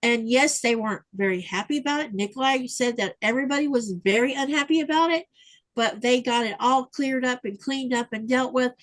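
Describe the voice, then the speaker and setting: A woman's voice: on the high side, brisk. Chatty woman host reading comments. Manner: reads viewer comments aloud, chatty with fillers. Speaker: livestream host reading chat. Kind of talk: casual chat. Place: home livestream. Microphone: livestream mic.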